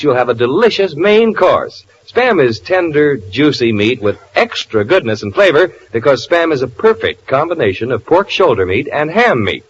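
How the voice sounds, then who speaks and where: A man announcing and pitching a sponsor on a 1940s radio show. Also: a little hiss or hum. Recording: muffled 1940s radio broadcast, music behind. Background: music.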